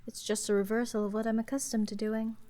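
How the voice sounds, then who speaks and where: A woman playing an aloof, skeptical sea witch in a soft, monotone fantasy ASMR roleplay, speaking in a dry, measured way. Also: fairly high pitch, natural voice. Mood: coolly businesslike.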